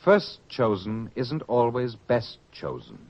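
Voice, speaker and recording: A man's voice: medium pitch, wildly swooping pitch, slow pace, full character voice. A man playing a proud, argumentative disciple in a radio drama, speaking in a questioning, oratorical way. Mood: proud, arguing. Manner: questioning, self-assured, oratorical. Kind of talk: old-fashioned radio drama acting. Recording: dull lo-fi radio drama recording.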